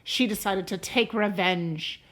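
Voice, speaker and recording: A woman reading a storybook aloud to a class as a teacher, in a deliberate, expressive way. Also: medium pitch. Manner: deliberate and expressive, pausing to question listeners.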